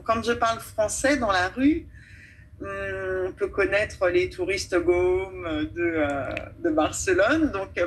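A woman from France, an actress and children's storyteller, talking reflectively as a radio guest and pausing often to find her words. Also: mid-range voice, a bit bright, poor audio. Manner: thoughtful, pauses often with hesitations. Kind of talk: radio interview, reflective talk.

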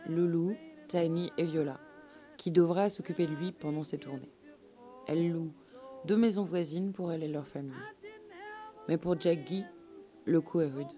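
Low, mellow woman narrator. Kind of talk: scripted documentary storytelling for radio.

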